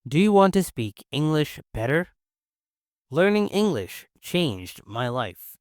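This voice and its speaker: A young man from China with a Chinese accent, whose first language is Mandarin, teaching English in an encouraging, clear and simple way. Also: on the high side, very animated, slow pace, dry recording, clean studio sound. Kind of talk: scripted English-learning lesson.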